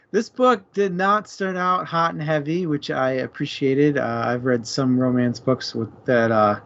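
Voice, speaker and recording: A man's voice: on the high side, slow, fairly bright tone. A man chatting casually and playfully as co-host of a book discussion show. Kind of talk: casual chat. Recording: dull, muffled lo-fi audio.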